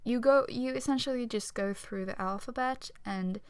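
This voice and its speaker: Young swedish-accented woman, soft-spoken, self-conscious, smooth clear voice, dry close sound. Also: on the high side, normal speed, dry recording. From Sweden. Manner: quiet, self-conscious and chatty, with nervous little laughs. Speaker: art vlogger. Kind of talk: casual voice-over talk during a speed drawing. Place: home room.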